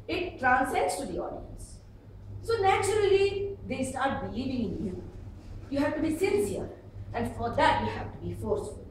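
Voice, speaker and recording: A high-voiced woman with an Indian accent teaches a club audience about public speaking. Her delivery is emphatic and swings widely in pitch. She is recorded from a distance in a very echoey room. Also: slight background noise. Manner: expressive, emphatic, with acted-out examples. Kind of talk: instructional speech to a live audience. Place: indoor meeting room before an audience.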